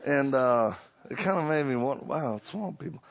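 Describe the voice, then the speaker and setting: Cajun preacher, middle-aged man, gravelly voice, hyped and joking on stage. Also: medium pitch, lively intonation, normal speed. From Louisiana. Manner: hyped up, joking, self-deprecating storyteller. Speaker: preacher and motivational speaker. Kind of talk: humorous stage talk to a live audience.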